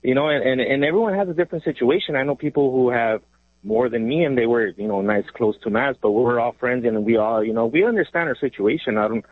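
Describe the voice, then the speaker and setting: A man's voice: mid-range voice, fast, dry recording. A man from Mexico in his thirties, calling in from his car over a tinny phone line. He rambles and hesitates with plenty of ums, and his voice sits in a plain middle range with a dry sound. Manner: rambling, hesitant, lots of ums, laughs easily. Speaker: caller. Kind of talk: casual call-in chat.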